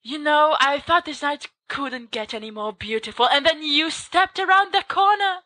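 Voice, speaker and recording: A woman's voice: sits high, lively intonation, moderate pace, pushed and bright, dry recording, voice pushed up, poor audio. A woman voicing a theatrical teenage schoolboy wizard making gushing, lovestruck declarations. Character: dramatic teenage schoolboy wizard, flamboyant charmer.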